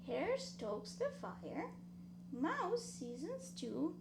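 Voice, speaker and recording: A woman's voice: high-pitched, big pitch swings, extremely slow, vocal fry, background noise. A woman reading a children's story aloud in a rhythmic, dramatic sing-song way. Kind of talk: expressive children's read-aloud.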